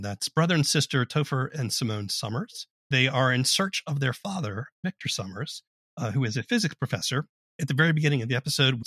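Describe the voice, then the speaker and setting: Man, podcast host, raspy edge, medium pitch, conversational presenting, dry studio-like sound. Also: fairly bright tone, no echo. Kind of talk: interview hosting with episode summaries.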